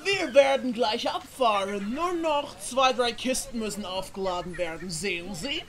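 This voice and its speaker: A woman's voice: fairly high pitch, expressive, a little slow. A woman playing a courteous, suave male sea captain in a comedic audio play, with flowery politeness. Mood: businesslike.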